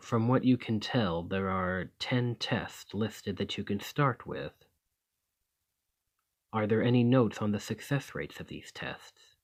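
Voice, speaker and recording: Low, husky man acting a courteous vampire. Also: lively intonation, takes it slowly, exaggerated voice. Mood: soft, reassuring. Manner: gentle, formal, old-fashioned phrasing. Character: desperate, courteous, protective male vampire of the 1820s. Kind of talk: ASMR roleplay acting.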